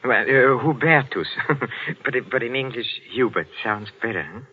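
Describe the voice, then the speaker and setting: A man's voice: mid-range voice, animated, moderate pace, lightly acted. A henpecked middle-aged professor played by a man, with widely swinging intonation and a hesitant, mannered delivery. It is old radio drama acting on a dull vintage recording. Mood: tentative. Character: meek henpecked old botany professor, quietly rebellious.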